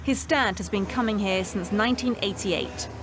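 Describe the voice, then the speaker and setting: A woman's voice: mid-range voice, moderate pace, background noise. A woman reporter reading scripted narration for a news documentary. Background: background music.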